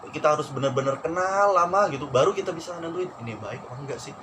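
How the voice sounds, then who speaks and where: A young man from Probolinggo with a Madurese-tinged Indonesian accent, telling stories candidly and in a relaxed way in a casual chat. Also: fairly high pitch, fast. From Probolinggo, East Java. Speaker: radio announcer and aspiring actor. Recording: noisy background.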